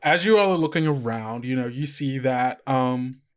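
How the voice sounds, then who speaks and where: A man's voice: mid-range voice, normal speed, clear, resonant tone. A man running a tabletop game as game master, describing scenes in a casual, rambling way with many fillers.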